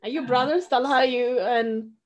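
A middle-aged woman teaching an online children's class, calling names and repeating each answer back in a brisk, encouraging way, heard through a webcam at home. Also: a little high. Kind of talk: leading a class roll call on a video call. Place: small room at home, brick-pattern wall. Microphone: video call, webcam.

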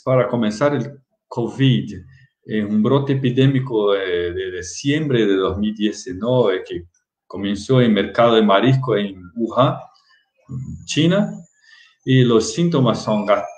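A middle-aged Brazilian man lecturing over a video call, his voice sitting a little low. He speaks very slowly and carefully, restarting words as he goes. The webcam audio sounds roomy. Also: a little mellow. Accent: Brazilian Portuguese. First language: Portuguese. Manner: halting, careful, frequent restarts. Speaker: guest lecturer. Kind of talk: online lecture with slides.

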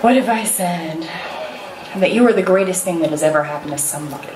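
A woman in her thirties performing a spoken word poem on stage, earnest and measured, speaking into a stand mic. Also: fairly low pitch, a crowd in the background. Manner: measured, earnest delivery with rhetorical questions to the audience. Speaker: slam poet. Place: stage in a large hall. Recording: echoey hall, taken from far off.

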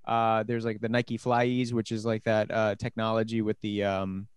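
A man's voice: on the low side, little pitch movement, moderate pace, clear, resonant tone, no room sound. A young man with a New Jersey accent co-hosting a podcast, joking and teasing in casual chat from a small home room. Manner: joking, teasing, bursts of loud laughter. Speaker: podcast co-host. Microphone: handheld mic close to the mouth on a video call.

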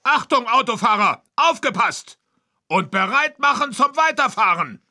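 An actor voicing a bossy, commanding talking traffic-light lamp in a kids' game. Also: male voice, lively intonation, a little slow, sharp tone, exaggerated voice. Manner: commanding, shrill.

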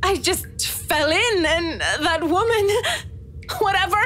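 A woman's voice: extremely high pitch, lively intonation, takes it slowly, fairly bright tone, slight background noise, pitched above the performer's own voice, exaggerated voice. A woman voicing a frightened, exhausted, tearfully pleading female prisoner in a visual novel. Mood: weak, distraught. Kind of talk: visual novel dialogue read aloud.

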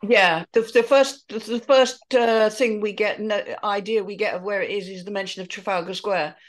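A woman moderating a panel on a video call, briskly handing over to each speaker. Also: mid-range voice, fairly even intonation. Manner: brisk, hands over to others. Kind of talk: casual video-call discussion.

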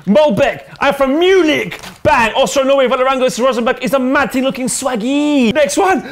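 Young male football show co-host, loud hype-man energy, casual studio chat. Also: very high-pitched, brisk. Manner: hypes segments with loud chanted shouts. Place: studio set with sofas.

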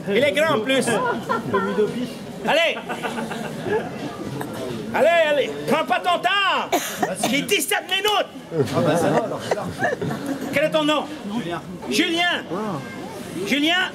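A man's voice: very high-pitched, expressive, a little slow, pauses often. A middle-aged man with a Québécois French accent, playfully working the audience as a street comedian in a theatrical, projecting voice. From Quebec, Canada. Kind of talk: comic street show patter to an audience. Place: outdoor cobbled street among an audience. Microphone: distant camera in the crowd. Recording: outdoor street recording with loud crowd.

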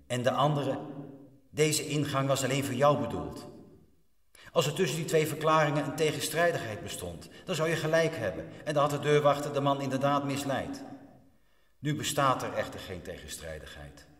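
A man narrating a radio drama in a solemn, measured, didactic way, as a stern storyteller. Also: mid-range voice, expressive, fairly bright tone, natural voice. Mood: solemn, instructive. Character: stern, measured male prison chaplain telling a parable. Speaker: narrator, actor and director of a radio play.

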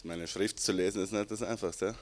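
A man's voice: fairly low pitch, steady tone, a little fast. A man in his thirties with an Austrian German accent, hosting a live poetry slam on a theater stage with a handheld mic, in a playful, self-mocking way. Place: dark theater stage before a seated audience. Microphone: handheld microphone.

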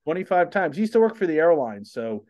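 A man's voice: a little high, very animated, fast talker, darkish tone, dull audio. A man, hosting a casual interview over video call from a small home studio, chatty and rambling. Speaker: host. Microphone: studio mic on boom arm.

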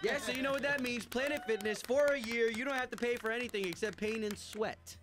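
A man co-hosting a morning radio show, playful and lively in studio banter. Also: extremely high pitch, brisk, music in the background. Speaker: radio co-host.

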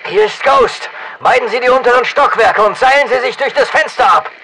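An actor playing a battle-hardened special forces soldier in a shooter game, giving clipped, commanding callouts over military radio. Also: male voice, extremely high pitch, lively intonation, brisk, sharp tone, a little hiss or hum, exaggerated voice, low-quality recording. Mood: focused.

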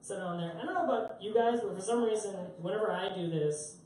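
A man's voice: sits high, fast, darkish tone, slight background noise. A young man doing live stand-up, telling rambling, self-mocking stories and laughing at himself on a small club stage. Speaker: stand-up comedian. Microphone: handheld mic, heard through a far camera. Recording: roomy echo, distant live capture.

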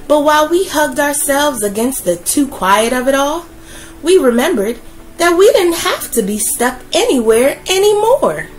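Slow, gentle woman reading a storybook. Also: sits high, rough recording. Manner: gentle, expressive, slow and soothing. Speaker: children's book reader. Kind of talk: read-aloud storytelling.

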